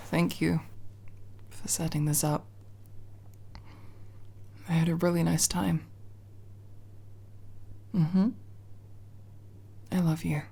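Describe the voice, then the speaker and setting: A low-voiced, awkward tomboy, acted by a woman in an ASMR roleplay, talking slowly with hesitant pauses. She sounds tired and quietly fond, with a creaky edge to her casual mumbling. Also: steady tone, exaggerated voice. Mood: sleepy, tender. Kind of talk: intimate ASMR roleplay acting.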